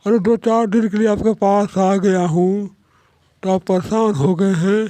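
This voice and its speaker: A man's voice: high-pitched, fairly even intonation, unhurried, dark tone, no echo. A man voicing an idle, proud young son-in-law in a moral-story cartoon, complaining in firm statements. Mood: indignant.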